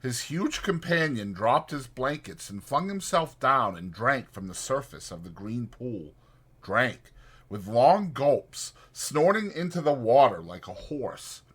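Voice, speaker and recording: Husky, animated male audiobook narrator. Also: medium pitch, a little slow. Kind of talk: dramatized scripted narration of a literary novel.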